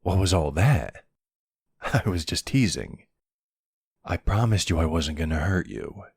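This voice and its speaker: A man playing a flirty, smug vampire in an intimate ASMR roleplay, taunting and seductive, with a low, gravelly, husky voice. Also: animated, darkish tone, exaggerated voice. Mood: teasing, softer. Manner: slow, taunting, seductive drawl. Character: flirty, smug male vampire, predatory and teasing.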